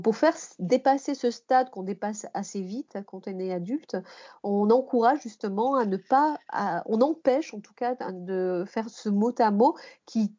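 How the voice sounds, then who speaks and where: Female podcast expert, mellow and slightly high, explanatory delivery with rephrasing, dull audio. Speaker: guest expert, language teacher and researcher. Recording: muffled, band-limited podcast audio.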